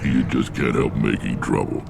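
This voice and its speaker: An actor playing a gruff old alien clan chief in a video game, dry, sardonic and commanding, with a gravelly rumble. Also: male voice, fairly even intonation, moderate pace, heavily acted. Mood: wry. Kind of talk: video game character acting. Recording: noisy game ambience behind.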